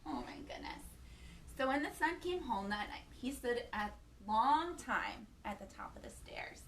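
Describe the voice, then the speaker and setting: A woman hosting children's storytime, reading a picture book in an animated, playful way and chatting to young listeners with questions and exclamations. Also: fairly high pitch, measured pace, distant mic, slight background noise.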